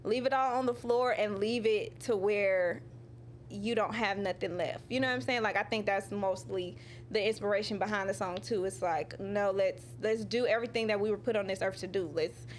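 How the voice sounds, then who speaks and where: A woman singer-songwriter talking casually in an interview, animated and full of laughs, acting out her own quotes, with drawn-out "you know" and "um" fillers. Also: medium pitch, slight background noise.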